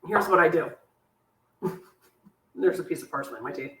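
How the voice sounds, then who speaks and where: Chatty woman hosting a cooking class. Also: normal speed. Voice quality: squeaky. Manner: chatty, warm-hearted asides, laughs at herself. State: sore back, in discomfort. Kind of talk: live online cooking class, answering viewer questions. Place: home kitchen. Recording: echoey kitchen, voice from a distance. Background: clinking cutlery and dishes.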